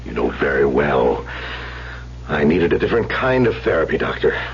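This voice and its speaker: A bitter, needling older man on the analyst's couch, low voice, muffled old radio drama. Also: big pitch swings, normal speed, creaky, heavily acted. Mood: sardonic, testy. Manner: sardonic, prickly. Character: bitter, needling, troubled older male therapy patient near sixty. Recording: muffled, hissy old radio broadcast recording.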